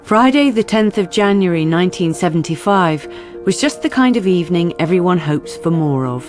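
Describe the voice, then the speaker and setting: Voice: mid-range voice, lively intonation, moderate pace, soft background music. A narrator reading scripted story passages for a radio drama.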